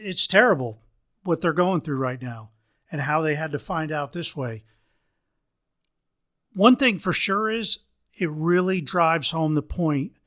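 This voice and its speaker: A middle-aged man from the United States hosting a solo leadership podcast, a bit hoarse from allergies, talking earnestly and often restarting phrases. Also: fairly high pitch, fairly bright tone. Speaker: podcast host.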